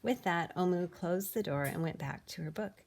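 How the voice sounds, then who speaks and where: Female picture-book narrator, slightly low register, expressive delivery with dramatic emphasis. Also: moderate pace, vocal fry, slight background noise. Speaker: storybook reader. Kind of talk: expressive read-aloud of a children's picture book.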